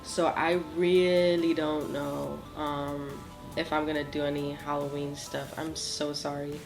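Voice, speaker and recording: A woman's voice: low voice, flat delivery, a little slow, music in the background. A woman in her twenties with a nasal voice from a cold, chatting through a makeup tutorial in a small home studio, apologetic and full of ums and likes. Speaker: beauty vlogger. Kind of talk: casual makeup tutorial chat.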